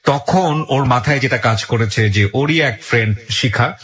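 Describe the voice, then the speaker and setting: A man's voice: medium pitch, unhurried, fairly bright tone. A man with a Bangladeshi accent hosting a radio interview, addressing listeners and mixing in English phrases. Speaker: radio show host.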